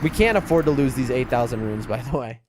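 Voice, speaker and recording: A man's voice: medium pitch, expressive, fast talker, background noise. A man with a New York accent giving casual, rambling live game commentary and chatting to his viewers. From US East Coast. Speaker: game streamer. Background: music.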